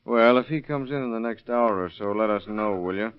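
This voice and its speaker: An actor playing a calm arson investigator in old radio drama, questioning in a matter-of-fact way. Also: male voice, on the low side, brisk, clear, resonant tone, close to an everyday voice. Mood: businesslike. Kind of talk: 1930s radio acting. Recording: muffled vintage radio recording.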